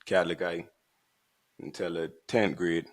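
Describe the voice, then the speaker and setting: A man from the Bahamas with a Bahamian accent, co-hosting a podcast and telling his story in a relaxed, laid-back way. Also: low-pitched, a little slow. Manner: relaxed, laid-back storytelling with frequent "right" and "you know". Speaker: podcast co-host. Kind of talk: casual chat.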